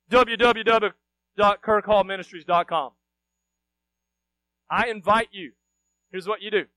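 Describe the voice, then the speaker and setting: A man's voice: extremely high pitch, dry recording, rough recording. A middle-aged man, preaching a sermon to his congregation, full of rhetorical questions and sudden emphatic bursts. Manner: shifts between quiet asides and loud emphatic bursts. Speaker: pastor.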